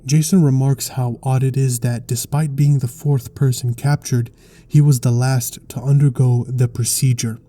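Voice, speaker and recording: A man narrating a scripted explainer in a measured, articulate storytelling way. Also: medium pitch, level tone, mellow. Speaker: video essay narrator.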